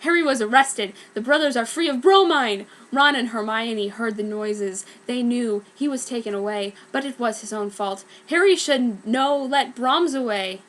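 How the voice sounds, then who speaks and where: A woman's voice: a little high, moderate pace, hardly any pauses. A woman narrating a piece of fan fiction as a theatrical, emphatic dramatic reading.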